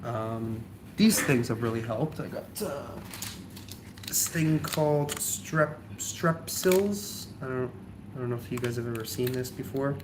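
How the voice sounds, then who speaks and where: A man's voice: mid-range voice, unhurried, noisy background. A young man with a cold and a slightly nasal voice, chatting casually in a vlog, rambling with lots of ums and likes. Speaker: travel vlogger.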